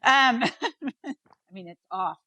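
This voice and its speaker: A woman's voice: on the high side, sing-song, extremely slow, sharp tone, no echo. A woman chatting casually as a podcast co-host. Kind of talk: casual chat.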